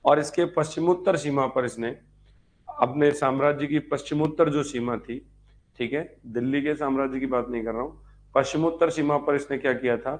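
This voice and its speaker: A man's voice: mid-range voice, brisk. A man giving a live online history lecture as a teacher, dictating notes, repeating points and posing check questions to students. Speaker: history teacher for exam preparation.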